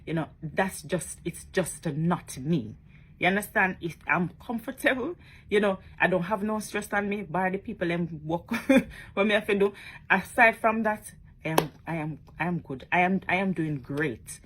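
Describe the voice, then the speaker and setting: A woman's voice: lowish voice, moderate pace, fairly bright tone, slight background noise. A woman talking casually to her followers in a vlog, chatty and emphatic, often tagging on questions. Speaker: social media blogger.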